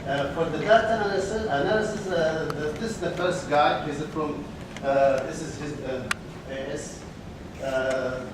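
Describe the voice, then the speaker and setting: Halting man in echoey hall. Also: a little high. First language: Arabic. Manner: halting, frequent filler pauses. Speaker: conference presenter. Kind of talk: academic research presentation. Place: conference hall. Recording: echoey hall, distant mic, background noise.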